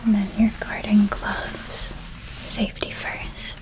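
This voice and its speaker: A woman playing a doting, gentle witch who is an eldest sister, in a whispered ASMR roleplay, speaking tenderly and with soft admiration. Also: mid-range voice, flat delivery, natural voice, low-quality recording. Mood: fond, gently teasing. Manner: tender, unhurried, softly admiring.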